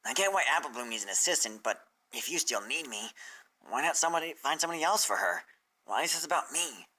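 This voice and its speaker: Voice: mid-range voice, animated, fast, pushed and bright, rough recording. Sprecher spielt einen kecken jungen Drachen. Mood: hurt, doubtful. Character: cocky, defensive young male dragon assistant.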